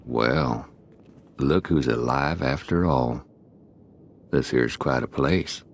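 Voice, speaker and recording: An actor playing a rough, skeptical, streetwise prison-gang convict in a video game, with slangy, drawling tough talk and a gravelly voice. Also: male voice, slow, a little hiss or hum, heavily acted. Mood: wry, grudging. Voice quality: deep, gravelly.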